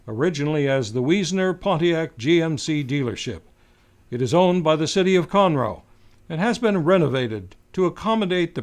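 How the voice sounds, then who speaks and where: A man announcer reading scripted promotional narration about a theatre. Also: fairly high pitch.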